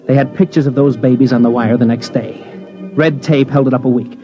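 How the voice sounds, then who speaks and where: Radio drama lead, male actor as wry American newsman, mid-pitched, terse narration, dull old broadcast sound. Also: steady tone, brisk, natural voice. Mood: wry, matter-of-fact. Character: hard-bitten male newspaper reporter recounting his big story. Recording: muffled old radio recording, faint music.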